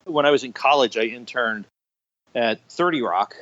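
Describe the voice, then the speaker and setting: A man's voice: medium pitch, moderate pace, fairly bright tone. A man in his fifties with an American accent, telling stories casually and with self-deprecating humor as a podcast guest. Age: 50s. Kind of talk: casual interview storytelling. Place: remote online call. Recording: dull lo-fi internet call.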